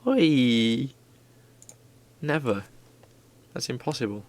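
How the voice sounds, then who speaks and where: A man's voice: medium pitch, animated, slow, darkish tone. A man streaming live, chatting casually and rambling playfully with his viewers while drawing.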